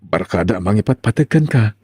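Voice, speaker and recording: A man's voice: fairly low pitch, animated, quick pace, warm tone, slightly rough, dry recording, clean studio sound. A man acting in a radio drama as a tender, remorseful middle-aged father back from prison, asking forgiveness. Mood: tender, grateful.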